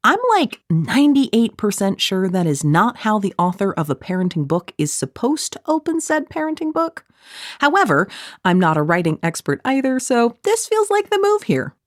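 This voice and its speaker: A middle-aged woman reading her own audiobook in a conversational, snarky way, with playful deadpan asides. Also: mid-range voice, normal speed, no echo, clean studio sound. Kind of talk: scripted audiobook narration, conversational.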